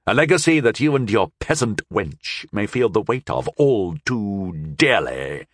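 Menacing noble warlord, done by a male narrator for fantasy audiobook. Haughty, scornful, measured, animated intonation. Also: on the low side, dry recording, studio quality, full character voice. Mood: quiet menace. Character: haughty, menacing rival warlord, sneering aristocrat.

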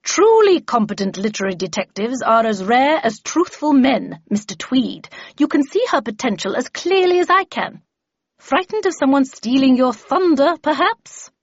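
A woman's voice: high voice, very animated, moderate pace, no echo, voice pushed up, full character voice. A haughty, commanding woman mentor with a fierce temper, played by a woman narrator in a storybook reading. She speaks imperiously, in a dry and slightly dull recording. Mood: haughty, cutting.

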